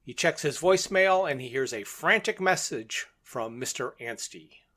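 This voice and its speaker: Male co-host, fairly high-pitched, casual and plain-spoken, handles the step-by-step recap. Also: animated, normal speed, a bit bright. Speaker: podcast co-host.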